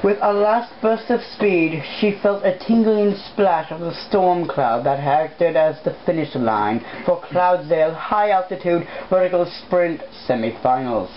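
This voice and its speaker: A woman with a fairly low voice reads a story aloud in a casual, amateur way. She stumbles and drops in self-deprecating asides. The sound is dull and lo-fi, with clear background noise. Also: measured pace, hardly any pauses. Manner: informal, self-deprecating, stumbles over hard words. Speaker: host, amateur fan fiction reader.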